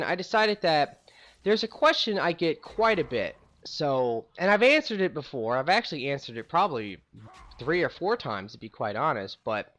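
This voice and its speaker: A man's voice: a little high, fairly bright tone. Young guy rambling about his life, voice jumps up and down a lot, lots of "you know" and "like". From St. Louis, USA. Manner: rambling, conversational, many fillers. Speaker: gaming YouTuber. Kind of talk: casual storytelling to camera.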